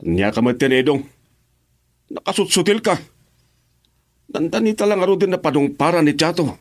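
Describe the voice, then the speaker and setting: An actor playing a bumbling, excitable henchman who drives, in an Ilocano radio drama. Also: fairly high pitch, moderate pace. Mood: flustered.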